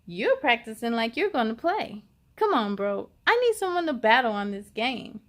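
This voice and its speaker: Storybook boy, voiced by a woman, high, playful, pushy pal, casual and coaxing. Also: big pitch swings, normal speed. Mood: coaxing, teasing. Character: young boy, pushy playful video-gaming friend. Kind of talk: children's storybook read-aloud.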